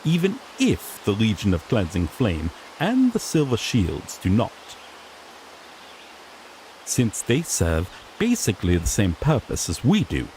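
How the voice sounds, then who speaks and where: A man's voice: on the low side, huge pitch swings, measured pace, a little mellow, a little hiss or hum. A man playing a sassy griffon knight in a fantasy audio drama, an earnest rookie lawman who explains things formally with mild exasperation. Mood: proud, explanatory.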